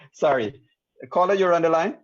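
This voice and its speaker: A man's voice: a little high, a little slow. A man with a Guyanese accent hosting a live call-in panel show in a firm, direct manner. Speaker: call-in talk show host. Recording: dull, lo-fi audio.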